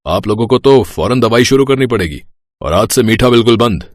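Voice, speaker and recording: A man's voice: medium pitch, expressive, fairly quick, no room sound, clean studio sound, lightly acted. A man playing a firm, reassuring young doctor in a moral story. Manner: assured, advising.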